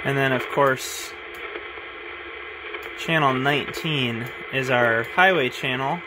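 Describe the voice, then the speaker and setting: A man's voice: medium pitch, takes it slowly, noisy background. A man casually showing off his radio gear at his desk, thinking aloud with frequent "uh"s as he handles the equipment. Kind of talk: casual hands-on demonstration.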